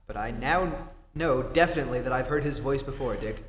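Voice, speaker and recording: A man's voice: medium pitch, fairly quick, lightly acted. A man playing an earnest, decisive male reporter in an old-time radio serial, urgent and emphatic, on a theatre stage. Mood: intent. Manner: urgent, emphatic, takes charge. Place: theatre stage in a live performance. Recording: echoey hall, hum and clear noise.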